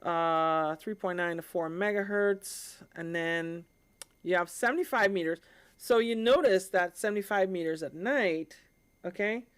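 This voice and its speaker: Clear-voiced woman explaining informally. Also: fairly low pitch, measured pace. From the Caribbean. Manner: conversational, often checking in with listeners. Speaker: live stream host. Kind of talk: informal explanatory talk on a live stream.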